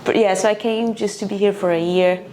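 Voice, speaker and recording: A woman's voice: medium pitch, steady tone, brisk, a little mellow, a little hiss or hum, poor audio. A woman in her thirties from Brazil with a Brazilian accent, chatting as an interview guest with frequent uh fillers. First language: Portuguese. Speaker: guest, property investor. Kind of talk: casual interview chat.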